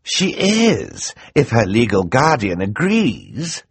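A man's voice: medium pitch, huge pitch swings, takes it slowly, slightly rough, no room sound, voice pushed up, full character voice. A man voicing a scheming, theatrical male villain who gloats and mocks, in an audiobook. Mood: smug. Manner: gloating, grand and mocking.

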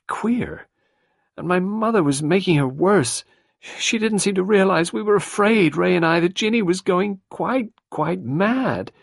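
A man's voice: high voice, gravelly, dry recording, clean studio sound, voice pushed up, exaggerated voice. A man reading an audiobook, voicing a distraught young woman who pleads and falters.

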